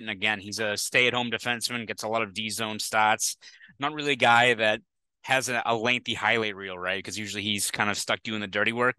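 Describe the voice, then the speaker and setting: Young Boston man, bright crisp voice. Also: fairly low pitch, no room sound. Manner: conversational analysis with frequent fillers. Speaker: sports reporter. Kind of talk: postgame recap to camera. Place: small indoor room. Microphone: headset mic.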